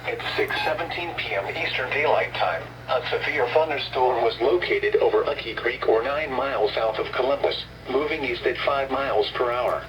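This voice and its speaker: A man with an American accent reads formal scripted weather bulletins in an impersonal, measured way over radio. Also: medium pitch, distant mic, lo-fi sound, a crowd in the background. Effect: radio broadcast. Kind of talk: formal scripted warning and information broadcast. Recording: noisy, humming weather radio picked up from afar, roomy.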